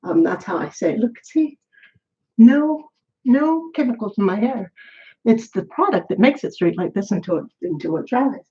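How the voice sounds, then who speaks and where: A woman's voice: fairly high pitch, animated, moderate pace, mellow, highs cut off. A middle-aged woman vlogging in a candid, rambling chat, short of breath as she braids her hair. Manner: rambling, candid, talks to herself. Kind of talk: casual chat. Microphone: camera while braiding her hair.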